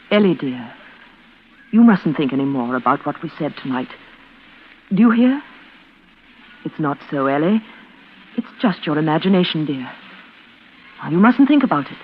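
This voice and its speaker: A woman's voice: lowish voice, a little hiss or hum. An actress playing a bitter, scheming woman caring for her mad sister, in melodramatic, intense 1940s radio suspense style. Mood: falsely soothing. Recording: tinny vintage radio recording, loud organ music.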